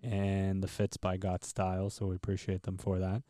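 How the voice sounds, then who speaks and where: A man's voice: low voice, completely flat delivery, moderate pace, dark tone, no room sound. A man hosting a podcast interview in a studio, earnest and encouraging as he talks with his guest. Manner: earnest, encouraging, speaks about men's struggles. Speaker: podcast host. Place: recording studio. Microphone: headset mic and headphones.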